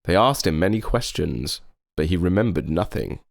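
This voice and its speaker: Deep-voiced man reading a children's story. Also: expressive, normal speed, gravelly, dry recording, studio quality. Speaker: storybook reader. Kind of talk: expressive narration of a children's story.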